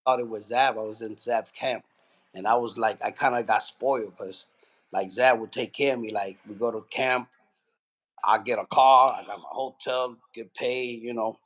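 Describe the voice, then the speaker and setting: A man from Puerto Rico, a boxing trainer, telling his story in a relaxed, rambling way with lots of fillers. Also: mid-range voice, normal speed. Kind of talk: casual interview.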